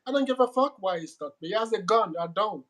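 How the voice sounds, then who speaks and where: A man's voice: sits high, expressive, quick pace, a bit bright, low-quality recording. A middle-aged man from West Africa with a West African accent, speaking emphatically as an interview guest and often repeating words while he presses a point. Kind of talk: candid conversational interview.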